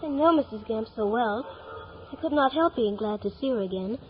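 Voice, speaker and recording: A woman's voice: on the high side, lively intonation, brisk, close to an everyday voice. A woman playing an earnest, eager, polite young boy full of wonder, in 1950s radio drama style. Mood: innocent, chatty. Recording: old radio broadcast, narrow band, music behind.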